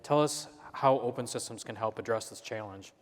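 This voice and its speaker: A man at a middle pitch moderates a conference panel. He puts short, brisk questions to his guest. A long hall reverb hangs behind his voice.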